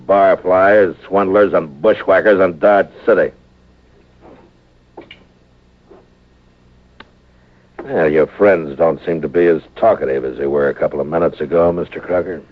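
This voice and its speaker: An actor playing a steady, laconic frontier marshal in a radio western, with a plain-spoken, dry, unflappable manner. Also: male voice, low voice, normal speed. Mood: dry, commanding. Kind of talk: 1950s radio western acting. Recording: tinny vintage radio recording, music behind.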